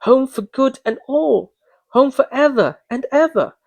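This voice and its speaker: A man's voice: extremely high pitch, animated, a little slow, vocal fry, no echo, muffled recording, pitched above the performer's own voice, exaggerated voice. A man voicing an affectionate little girl, eager and brimming with glee, in a storybook reading. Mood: joyful.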